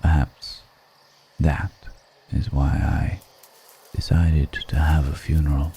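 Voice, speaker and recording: Man voicing a very deep, slow, brooding vampire. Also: flat delivery, a little hiss or hum, exaggerated voice. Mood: solemn, melancholic. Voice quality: very deep, dark, creaky murmur. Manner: slow, measured, poetic, pausing between phrases. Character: brooding undead male vampire, solemn, melancholic. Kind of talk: intimate ASMR roleplay monologue.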